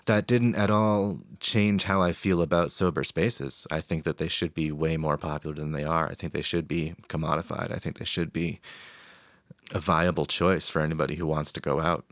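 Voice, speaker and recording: A man's voice: very deep voice, fairly even intonation, moderate pace, fairly bright tone, no echo. A man in a casual podcast panel, reflecting aloud on socializing and drinking, with frequent restarts. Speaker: podcast guest.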